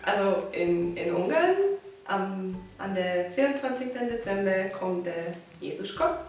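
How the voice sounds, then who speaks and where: Slow-talking Hungarian woman, echoey room. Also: medium pitch, lively intonation, darkish tone. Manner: halting, searching for words, laughing often. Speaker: host. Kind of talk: casual chat. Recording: echoey room, mic at a distance.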